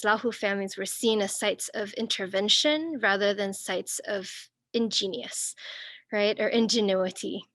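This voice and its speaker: A woman from Singapore and northern Thailand giving an academic talk over a video call, thoughtful and searching, with many pauses and restarts. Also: mid-range voice, fairly bright tone, dry recording. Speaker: guest speaker.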